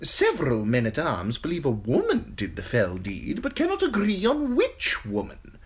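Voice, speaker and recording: A woman voicing a sly, simpering male eunuch courtier with a high, tittering voice, in an audiobook. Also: breathy edge, exaggerated voice. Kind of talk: audiobook character voice.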